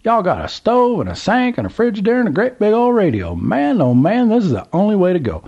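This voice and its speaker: A man voicing an excitable, toothless old Southern man who drawls and exclaims in a high voice. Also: brisk, husky, highs cut off, full character voice. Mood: excited.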